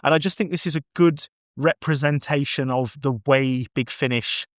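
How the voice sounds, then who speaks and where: A man with a mid-pitched voice talks through a review as a podcast co-host. He is casual and enthusiastic, wandering through his points and correcting himself as he goes. The recording is close and dry, with no room sound. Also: normal speed, no echo.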